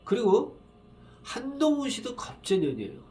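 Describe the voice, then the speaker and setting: A man with a cold and a roughened voice, tired from just waking up, talking in a rambling, halting way with many fillers as he gives fortune readings in a casual solo video. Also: very high voice, wildly swooping pitch, a little mellow, a little hiss or hum. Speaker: saju fortune reader.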